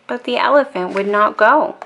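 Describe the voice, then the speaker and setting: A woman storyteller narrating a children's bedtime book in a calm, expressive and deliberate way. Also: medium pitch, gravelly, muffled recording, a little hiss or hum.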